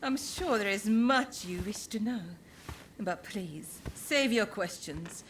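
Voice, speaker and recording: A woman's voice: medium pitch, animated, a little hiss or hum, low-quality recording. A woman playing a poised, formal informant bearing grave news in a video game cutscene. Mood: composed, urgent. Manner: formal, composed, deliberate wording.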